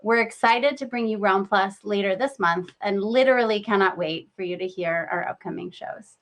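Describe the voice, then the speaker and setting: A woman's voice: mid-range voice, moderate pace, fairly bright tone. A woman speaking as a company executive, presenting a scripted promotional announcement.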